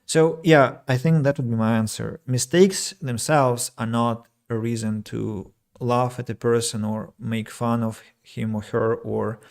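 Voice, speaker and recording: A man's voice: medium pitch, fairly even intonation, a little slow, vocal fry, hardly any pauses. A man from Russia, an English teacher talking through his thoughts on a solo podcast, reading out comments and restarting phrases as he thinks. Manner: reflective, reads listener comments aloud, frequent fillers and restarts.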